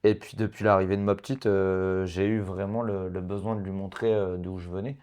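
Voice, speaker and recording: Man, very deep voice, halting casual interview talk, laughs often. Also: level tone, a little mellow. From Portugal. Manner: hesitant, many fillers and restarts, laughs often. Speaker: interview guest.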